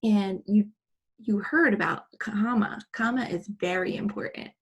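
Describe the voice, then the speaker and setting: A woman teaching a children's English lesson, explaining in simple, deliberate phrases and posing questions to her pupils. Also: medium pitch, breathy edge.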